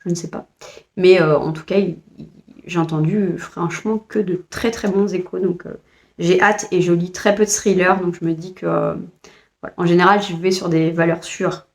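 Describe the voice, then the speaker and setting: A woman's voice: on the low side, fairly even intonation, moderate pace. A French woman vlogger chatting casually about books she bought, hesitant with frequent fillers and restarts. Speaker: book vlogger. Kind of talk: casual book haul chat.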